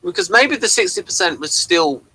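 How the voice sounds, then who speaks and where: A man's voice: sits high, sing-song, normal speed, creaky, pushed and bright, breathy edge, no room sound, low-quality recording. A man co-hosting a review show, chatting casually and hesitantly about audio drama as he meanders through his points.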